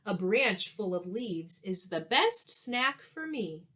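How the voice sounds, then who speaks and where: A woman voicing zoo animals that pose playful rhyming riddles in a children's storybook, in a measured sing-song. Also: medium pitch, very animated, bright, forceful tone, full character voice. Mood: gentle, playful. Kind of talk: children's storybook read-aloud.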